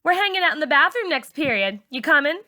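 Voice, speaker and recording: Lady reading as a bossy mean teen girl, high and bright, mocking everyone. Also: very animated, fast talker, exaggerated voice. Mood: taunting. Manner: mocking, taunting. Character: sassy bossy teenage girl ringleader.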